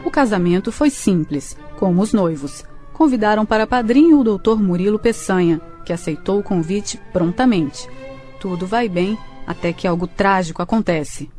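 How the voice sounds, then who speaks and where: A woman's voice: mid-range voice, lively intonation, normal speed, dark tone, faint music behind. A woman with a Brazilian accent narrating a scripted radio drama.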